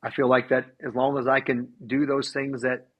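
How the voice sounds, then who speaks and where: A man's voice: mid-range voice, little pitch movement, a bit bright. A man in his forties, a racing driver and team owner, answering earnestly in a casual podcast interview, often restarting his sentences. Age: 40s. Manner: earnest, halting with many restarts.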